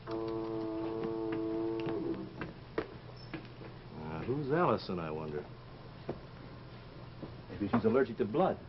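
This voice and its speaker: An actor playing a flippant, curious young artist type with a breezy, musing manner in an old-time radio drama. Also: male voice, fairly low pitch, big pitch swings, normal speed. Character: flippant, curious male artist, unbothered by danger. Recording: muffled, noisy old radio recording.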